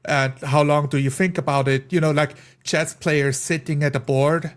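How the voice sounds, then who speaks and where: Guy with a slightly high voice chatting tabletop tactics, asks questions to make his point. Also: steady tone, normal speed. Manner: explains through rhetorical questions. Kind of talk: casual discussion of game tactics.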